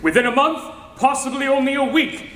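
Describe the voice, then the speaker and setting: A man acts a grave, impassioned scientist of a doomed world in a radio play. His voice is very high-pitched and forceful, ringing in an echoey hall. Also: fairly quick. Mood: dire, impassioned. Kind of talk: 1940s-style radio acting.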